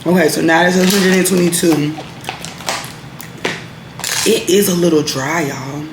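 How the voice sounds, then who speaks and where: A young woman talks through her vlog in a relaxed, chatty way. Her voice bounces around an echoey room. Background noise comes through clearly. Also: on the low side, a little slow. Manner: chatty, laid-back, laughs easily. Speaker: vlogger. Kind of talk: casual vlog chat.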